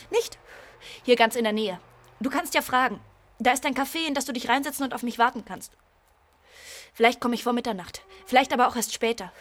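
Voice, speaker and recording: A woman performs a brash, excitable accomplice in a radio play. Her voice is high, her manner blunt and taunting. There is noise and ambience behind her. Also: natural voice. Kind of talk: German radio play acting.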